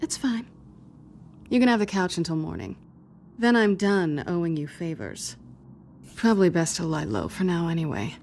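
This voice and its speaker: A woman's voice: fairly low pitch, expressive, normal speed, creaky, darkish tone, a little hiss or hum. A woman playing a guarded, teasing, world-weary female thief with a wry, sardonic manner in a video game. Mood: firm, guarded. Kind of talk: video game cutscene dialogue. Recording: music behind the voice.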